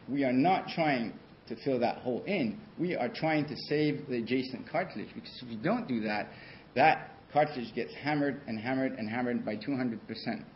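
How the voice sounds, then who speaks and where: Surgeon talking at a podium. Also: male voice, medium pitch. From Switzerland. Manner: conversational, many fillers and restarts. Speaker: orthopedic surgeon. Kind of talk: conference talk with slides. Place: large conference hall. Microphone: podium microphone. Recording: dull hall recording, faint hiss.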